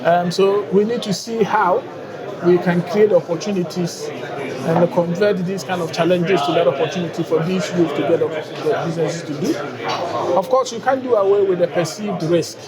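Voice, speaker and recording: Ghanaian man on a noisy conference floor. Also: high voice. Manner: measured, with frequent hesitations. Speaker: bank guarantees unit head. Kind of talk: conference interview. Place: busy trade conference floor. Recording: loud crowd behind.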